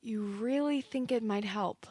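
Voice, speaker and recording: A woman's voice: on the high side, a little slow, a little mellow, no room sound, studio quality. A woman playing a lovelorn, stubborn, skeptical client in an audio play, with a dramatic, petulant manner and quaint mild oaths. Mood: doubtful. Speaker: actor.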